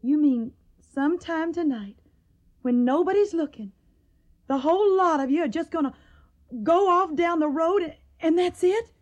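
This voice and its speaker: A woman's voice: very high-pitched, moderate pace. An actress playing a tender, troubled and stubborn young Tennessee woman in a Civil War radio drama, speaking earnest, wistful, folksy lines with a Southern accent. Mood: upset, tender.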